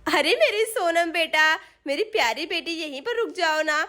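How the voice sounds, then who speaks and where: बच्ची को मनाती लाड़ भरी माँ, बहुत ऊँची आवाज़ , महिला के अभिनय में. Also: female voice, extremely high pitch, animated, normal speed, pushed and bright, full character voice. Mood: coaxing. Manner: sweetly coaxing. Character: coaxing, affectionate mother of young children.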